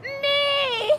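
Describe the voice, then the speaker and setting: A woman's voice: very high-pitched, background noise, full character voice. A woman playing a smug, theatrical wrestler hosting a mock talk show in a video game, with exaggerated glee. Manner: theatrical, self-congratulating.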